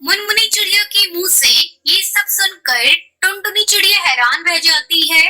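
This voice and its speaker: A woman's voice: extremely high pitch, expressive, a little slow, sharp tone, poor audio. A woman narrating a scripted children's cartoon story as the storyteller.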